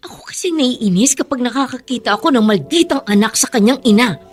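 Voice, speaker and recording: An actress playing a frank, protective younger relative in a radio drama. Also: female voice, a little high, animated, a little fast, lightly acted. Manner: frank and earnest. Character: caring younger cousin, outspoken and protective.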